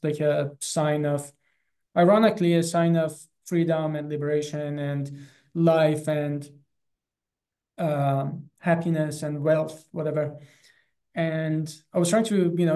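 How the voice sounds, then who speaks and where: Higher-pitched Iranian-accented man, even and mellow. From Iran. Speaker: animal rights activist and guest. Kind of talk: personal account in an online interview. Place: small classroom in front of a chalkboard. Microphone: video call, close to computer.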